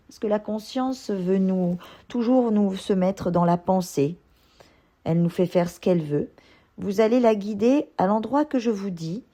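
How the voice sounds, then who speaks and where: Very slow, mellow woman guiding relaxation. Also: medium pitch. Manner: soothing, gently instructing. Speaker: yoga instructor. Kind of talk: guided relaxation meditation.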